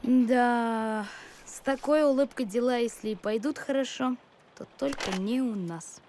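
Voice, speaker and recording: A woman's voice: a little high, a little slow, darkish tone, a little hiss or hum, close to an everyday voice. A woman voicing a sensible, caring young boy in a cartoon, earnest and matter-of-fact. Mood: concerned. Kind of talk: animated cartoon voice acting. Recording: music behind the voice.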